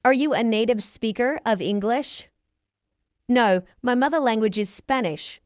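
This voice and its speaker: A woman teaching English, reading short practice dialogues slowly and clearly and repeating each exchange for learners. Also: on the high side, no room sound.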